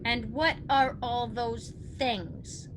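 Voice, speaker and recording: An actress playing a nagging, self-pitying, fearful wife in a melodramatic 1940s radio drama style, shrill and complaining. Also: female voice, steady tone, extremely slow, background noise. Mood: irritated, complaining. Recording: old 1940s radio recording, loud music.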